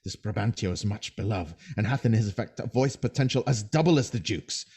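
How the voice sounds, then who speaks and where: A man acting a low-voiced, bitter, scheming soldier. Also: expressive, darkish tone, slightly rough. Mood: urgent warning. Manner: theatrical, resentful, deliberate delivery. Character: bitter, scheming male soldier plotting against his master. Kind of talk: Shakespearean audio drama acting.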